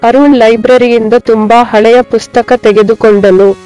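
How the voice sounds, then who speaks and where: A woman's voice: on the high side, fairly even intonation, measured pace, warm tone, faint music behind, rough recording. A woman narrating scripted moral tales for children.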